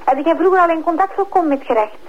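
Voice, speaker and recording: Female caller, Flemish accent, high voice, rambling, insistent questions, muffled phone line. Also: fast talker. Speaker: listener calling in. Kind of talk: spontaneous questioning on radio. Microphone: telephone. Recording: dull telephone line on radio.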